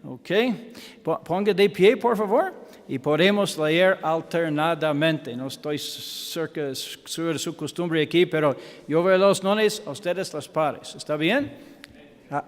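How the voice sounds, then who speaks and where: A man with an American accent, a native English speaker, preaching in a church in halting Spanish with English fillers and self-deprecating humor. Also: fairly high pitch, in a large hall. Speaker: guest pastor. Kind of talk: sermon with Bible reading. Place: church sanctuary.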